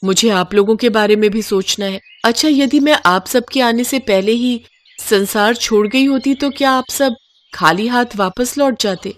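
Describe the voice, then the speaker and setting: A woman's voice: fairly high pitch, moderate pace, close to an everyday voice. A woman voicing a serene, devout young princess in a devotional story, speaking formally and earnestly. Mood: gentle, resolute.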